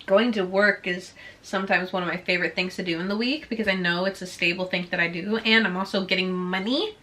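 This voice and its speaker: A woman's voice: mid-range voice, steady tone, a little fast, sharp tone. A woman of about twenty whose first language is Swedish, chatting bubbly and casual through a Q&A with her viewers. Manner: bubbly, chatty, heavy use of "like". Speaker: vlogger.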